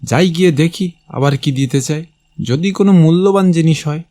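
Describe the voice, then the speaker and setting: A man's voice: a little high, moderate pace, dark tone, soft background music, lightly acted. Brusque, greedy village uncle acted by a man. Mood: greedy, curious. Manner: brusque, demanding. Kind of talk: Bengali cartoon moral tale dialogue.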